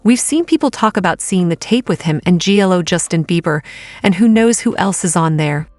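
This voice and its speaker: A woman's voice: lowish voice, lively intonation, brisk, darkish tone, no echo. A woman giving casual celebrity gossip commentary as a host.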